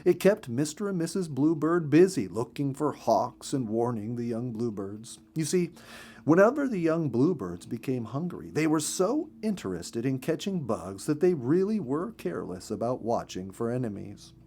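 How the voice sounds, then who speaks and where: A man reading a children's story as narrator in an expressive, gentle storytelling manner. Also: mid-range voice, normal speed.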